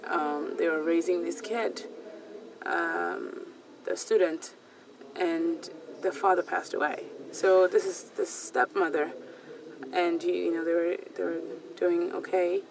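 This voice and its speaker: A woman's voice: fairly low pitch, creaky, a bit bright, recorded from a distance, slight background noise, rough recording. A woman in her thirties from Ethiopia, telling a personal story hesitantly, with many fillers and restarts. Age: 30s. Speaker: storyteller sharing a personal account. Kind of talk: personal testimony. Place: small recording booth.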